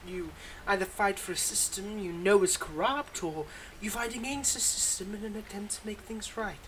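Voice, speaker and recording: A man's voice: sits high, moderate pace, fairly bright tone, slight background noise, poor audio. A man playing a weary, wry supervillain with a secretly kind side, talking conversationally and a little hesitantly in an intimate roleplay audio monologue. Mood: surprised, hopeful.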